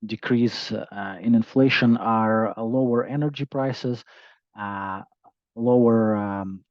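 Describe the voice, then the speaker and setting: A man speaking as a company executive, presenting on an investor conference call in a halting, hesitant way with frequent pauses. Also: lowish voice, no room sound. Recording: dull, lo-fi conference call audio.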